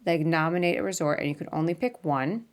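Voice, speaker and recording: A woman hosting a casual live video interview, chatty and quick to laugh. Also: low-pitched. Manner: chatty, laughs often, apologetic at start. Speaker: podcast host.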